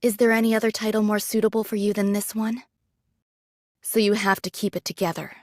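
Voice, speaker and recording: An actress playing a rank-and-file android soldier in a game drama, frank and earnest, admiring yet reproachful. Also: female voice, mid-range voice, flat delivery, brisk, darkish tone, no echo, studio quality, close to an everyday voice.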